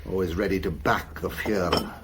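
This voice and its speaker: An actor in a radio drama playing a weary, cynical German admiral and spymaster who talks in a sardonic, confiding way. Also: male voice, low-pitched, steady tone, normal speed, noisy background, close to an everyday voice.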